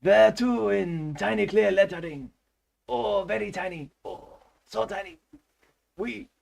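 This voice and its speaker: Excitable, high-pitched comic Frenchman. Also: unhurried, vocal fry, full character voice. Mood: playful, theatrical. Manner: theatrical, with interjected "huh", "eh" and "oui". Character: comically exaggerated Frenchman reading a novel aloud, excitable.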